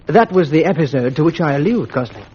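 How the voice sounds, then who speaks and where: A man's voice: a little high, lively intonation, quick pace, darkish tone, husky. A man voicing a stern, middle-aged male schoolmaster who speaks in precise, formal questions, on a lo-fi old recording.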